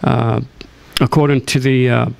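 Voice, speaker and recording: A man answers at a candidate forum in a halting way, full of filled pauses. His mid-pitched voice is notably creaky but clear. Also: flat delivery. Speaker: city council candidate.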